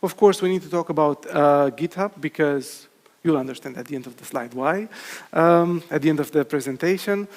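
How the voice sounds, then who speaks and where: A man's voice: a little high, moderate pace, a little mellow. A man from Romania, a cloud solution architect, giving a conference talk with frequent ums and uhs. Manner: hesitant, many filler sounds. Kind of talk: conference presentation. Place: conference hall with audience. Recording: long hall reverb.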